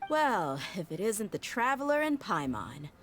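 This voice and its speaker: A woman's voice: mid-range voice, sing-song, a little slow. A woman voicing a tough but friendly female mercenary who talks frankly and laughs easily. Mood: easygoing. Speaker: mercenary, former bodyguard. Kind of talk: game voice acting.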